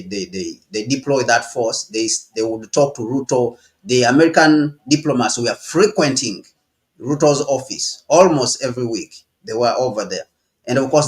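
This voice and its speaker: Guy from ghana and uganda, guest on a live stream, mid-pitched voice, keeps saying you know and repeating himself. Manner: repeats words, restarts, says "you know" often. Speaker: guest commentator. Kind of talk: live stream interview, political commentary.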